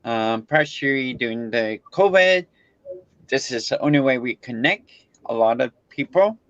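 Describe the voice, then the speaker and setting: A man hosting a podcast in casual, halting, loosely phrased chat. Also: medium pitch, animated, fairly bright tone, dry recording, dull audio. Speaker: podcast host. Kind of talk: casual remote interview chat.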